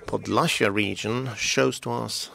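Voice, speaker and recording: A man interprets formal conference speeches in English with a low, gravelly voice. His delivery is steady and measured. Now and then his voice thins into a near-whisper. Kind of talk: simultaneous interpretation.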